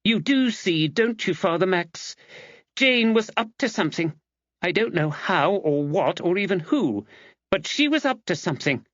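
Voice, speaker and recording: A man's voice: sits high, sing-song, a bit bright, dry recording, lo-fi sound, pitched above the performer's own voice. A man voicing an upset young woman in an audiobook, earnest, insistent and suspicious. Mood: urgent, worried. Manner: emphatic, earnest, rushed. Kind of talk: audiobook character dialogue.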